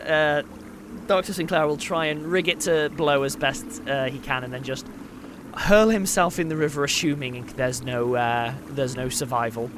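A man chatting casually as a tabletop game player, halting and wryly self-deprecating. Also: fairly high pitch, steady tone. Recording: clear background noise.

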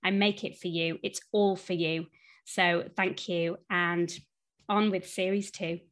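A woman's voice: lowish voice, steady tone, measured pace. A woman podcast host speaking in an effusive, encouraging way, moving between scripted intros and chatty interview.

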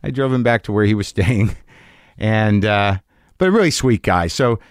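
A man's voice: lowish voice, moderate pace, smooth, dry recording. A man in his fifties hosting a podcast, talking casually in a rambling way with many halts and restarts, recorded close on a studio mic. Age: 50s. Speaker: podcast host. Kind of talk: casual podcast chat and monologue.

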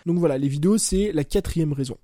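A young man talks through his podcast in a loose, informal ramble, often correcting himself mid-sentence. His voice is very dark and mellow. The recording is dry and close, with no room sound. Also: fairly high pitch, quick pace, no echo. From France. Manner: chatty, loose and informal, says "tu vois" and "bah" constantly, self-corrects. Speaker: podcast host. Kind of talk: casual solo podcast monologue. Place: at home.

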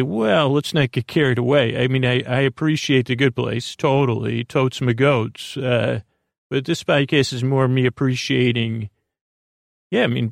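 A man with a creaky voice giving a lulling, meandering, halting bedtime podcast monologue as host, in a self-deprecating way. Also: mid-range voice, no room sound, clean studio sound. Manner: lulling, soothing.

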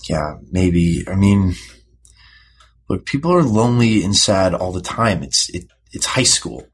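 An actor playing a moody, defensive high school boy in therapy, speaking in a hesitant, halting way in a naturalistic audio drama. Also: big pitch swings, slightly rough, heavily acted. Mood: defensive, reluctant. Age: teenager. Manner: hesitant, halting, repeats words.

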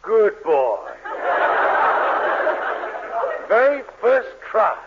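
Sly, henpecked middle-aged American shopkeeper, animated dry delivery, 1940s radio comedy on a noisy recording. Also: male voice, sits high, takes it slowly, phone-quality sound, exaggerated voice. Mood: sly, jovial. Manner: dry, wisecracking, conspiratorial. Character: henpecked, sly male drugstore boss, dryly jovial. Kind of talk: 1940s radio sitcom acting.

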